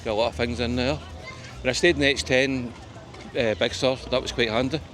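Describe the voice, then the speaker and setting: A man's voice: mid-range voice, steady tone, fairly quick, fairly bright tone. A man with a broad Scottish accent chatting casually as he walks along a seaside promenade, rambling with plenty of ums, wee and aye. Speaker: travel vlogger. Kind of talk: casual walking-tour vlog. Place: busy outdoor seaside promenade. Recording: noisy outdoor crowd with music. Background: crowd chatter and festival music.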